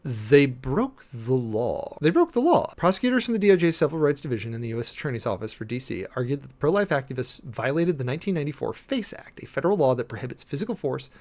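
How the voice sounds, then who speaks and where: An American man with a mid-pitched voice reads aloud dramatically and breaks in with his own commentary. He talks very quickly. His delivery is emphatic and sardonic, with an edge of mock exasperation. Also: big pitch swings, darkish tone. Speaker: preacher and commentator. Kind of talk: dramatic reading with off-the-cuff commentary.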